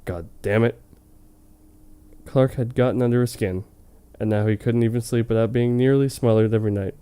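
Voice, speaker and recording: A man with a soft-edged, relaxed tone reads a story aloud with mock drama. His voice is smooth and clear, and he slips into casual, amused commentary between hammy narrated lines. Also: medium pitch, normal speed. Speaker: reader of fan fiction on a comedy web show. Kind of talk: mock-dramatic reading with casual joking asides.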